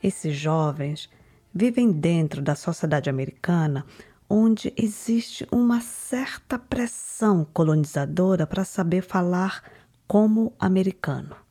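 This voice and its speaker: A woman narrating a documentary in a calm, measured, slightly whispery way and putting questions to her interviewees. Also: fairly low pitch, slightly rough. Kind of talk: reflective scripted narration.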